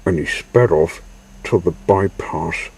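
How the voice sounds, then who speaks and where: A man with a low, rough-edged voice narrates a children's story. He goes extremely slowly, in halting little phrases. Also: a little mellow, poor audio. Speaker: storyteller reading a children's book aloud. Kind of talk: slow read-aloud children's story narration.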